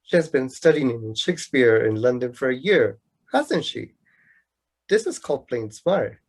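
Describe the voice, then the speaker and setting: A man's voice: medium pitch, big pitch swings, normal speed, husky. A man acting a sarcastic, lovelorn young student in an amateur radio play, with a mocking, bookish, declamatory manner. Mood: proud, defiant.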